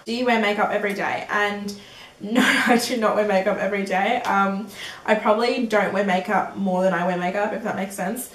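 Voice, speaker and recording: A woman's voice: mid-range voice, steady tone, a little fast, fairly bright tone, recorded from a distance. An eighteen-year-old woman chatting through a beauty Q&A, giggly and loose, with plenty of ums and likes. Her voice sits in the middle range. She records in an echoey room, a little away from the camera mic, over a faint hiss. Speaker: beauty vlogger. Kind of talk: casual Q&A vlog.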